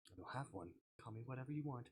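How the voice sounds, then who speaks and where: A man's voice: medium pitch, animated, very fast, slightly rough. A man voicing a mysterious male dream visitor from a visual novel, aloof, teasing and easily annoyed, with a dry, curt delivery. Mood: quiet, earnest. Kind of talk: visual novel character reading.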